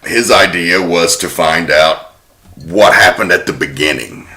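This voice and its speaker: A man's voice: low-pitched, measured pace, pushed and bright. A man hosting a podcast, casually walking through researched facts about an old film. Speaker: podcast host. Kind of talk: casual film-history chat.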